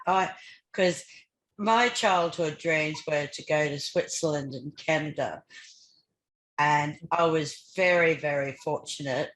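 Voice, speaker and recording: An older woman with an Australian accent chatting casually as a podcast host, often repeating words as she goes. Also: low voice. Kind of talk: casual conversation. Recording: roomy, echoey sound.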